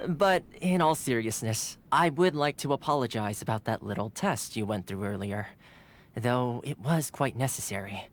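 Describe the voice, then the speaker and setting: An actor playing a courteous, playful yet earnest young retainer in a video game, speaking in polite, formal phrases. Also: male voice, mid-range voice, a little hiss or hum, close to an everyday voice. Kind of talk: scripted game cutscene dialogue. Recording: music behind the dialogue. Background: game music.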